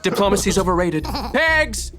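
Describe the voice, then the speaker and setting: A man's voice: high-pitched, very animated, moderate pace, music in the background. A man playing a vain, flippant, lustful villain in a comedy table read. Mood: impatient menace.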